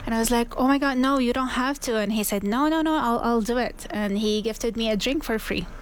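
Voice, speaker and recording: A woman's voice: on the high side, fairly quick. Casual woman on radio, faint background noise. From Syria. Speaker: radio show co-host. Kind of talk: casual anecdote.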